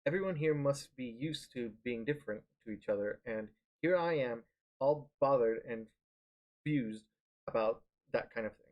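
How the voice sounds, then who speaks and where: A man reading visual novel narration aloud in a live stream, halting and correcting himself as he goes. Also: mid-range voice, lo-fi sound. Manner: halting, stumbles and corrects words. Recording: music behind the voice.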